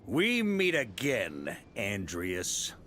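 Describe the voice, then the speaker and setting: Confident knight commander, very expressive. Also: male voice, lowish voice, drawn-out words, long reverb tail, background noise, heavily acted. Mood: wary, musing. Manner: assured, theatrical. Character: confident, easygoing knight grandmaster, seasoned male leader. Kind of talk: video game voice acting.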